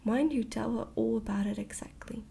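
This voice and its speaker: A woman voicing a kindly, mysterious old grandmother queen, calm and half-whispered, in a storybook reading. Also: on the high side, moderate pace, gravelly, slight background noise. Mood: gentle. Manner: calm, patient, gently cryptic. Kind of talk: hushed ASMR storybook reading.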